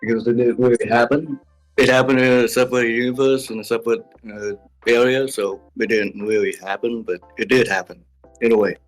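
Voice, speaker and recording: A man answering casually as an interview guest, halting and repeating short phrases as he goes. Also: mid-range voice, fairly even intonation, rough recording. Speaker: interview guest, web series creator. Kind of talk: casual chat. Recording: music playing behind. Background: music.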